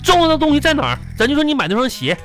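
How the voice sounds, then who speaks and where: A wisecracking husband with a Northeastern Chinese accent, griping at breakneck, folksy comic pace. His pitch leaps about with exasperation as he teases his wife in a radio comedy, over a music bed. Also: big pitch swings, fairly bright tone, full character voice. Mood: wheedling, persuasive. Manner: folksy, exaggerated, fast-talking comic griping. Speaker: radio show host and performer. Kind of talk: comic radio drama dialogue.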